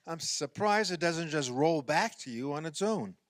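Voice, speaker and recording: A man's voice: fairly high pitch, lively intonation, normal speed, no echo, studio quality, natural voice. A man playing a sardonic husband with wounded pride in a comedic radio play, sarcastic and dramatic. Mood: wry, defeated. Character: sardonic husband, sore loser at backyard basketball.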